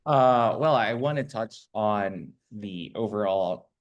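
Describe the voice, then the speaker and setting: A man answering a panel host's question as a graduate student, measured and thoughtful, with frequent fillers, over a video call. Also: fairly low pitch, lively intonation, dull audio.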